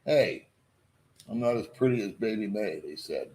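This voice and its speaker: A middle-aged man hosts a relaxed live stream and talks to his viewers in a somewhat low voice. He pokes fun at himself and greets people by name as they join. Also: moderate pace, background noise. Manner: joking, self-deprecating, greets viewers by name. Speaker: vlogger hosting a live stream.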